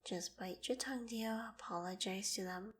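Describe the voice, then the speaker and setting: Woman acting a breathy, gentle young queen. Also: mid-range voice, moderate pace, lightly acted. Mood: reluctant, muttering. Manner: soft, breathy, dropping into whispered asides. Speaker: voice actor in audio roleplay. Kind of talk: scripted ASMR roleplay acting.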